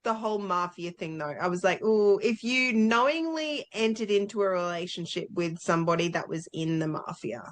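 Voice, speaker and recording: A woman's voice: fairly low pitch, animated, normal speed, hardly any pauses. A woman co-hosting a casual book discussion, talking informally and thinking aloud as she goes. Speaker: book club podcast co-host.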